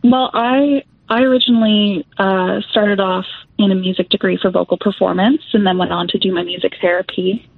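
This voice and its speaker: A woman's voice: medium pitch, steady tone, moderate pace, creaky, no room sound. Young woman music therapist chatting, lots of ums, tinny phone-ish sound. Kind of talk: interview guest, casual explanation.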